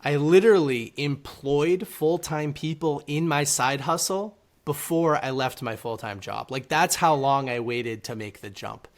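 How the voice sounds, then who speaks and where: A man answering casually as an interview guest over a video call, articulate and measured as he explains. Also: fairly high pitch. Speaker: interview guest, author and entrepreneur. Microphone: speaking over a video call.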